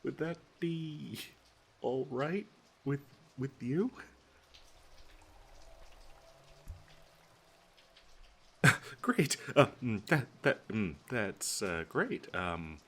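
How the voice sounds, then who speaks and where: A man playing a nervous, lovestruck and obsessive best friend in an intimate audio roleplay, stammering and haltingly giggling as he speaks to the listener. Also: fairly low pitch, fairly bright tone, slightly rough, full character voice. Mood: nervous, eager.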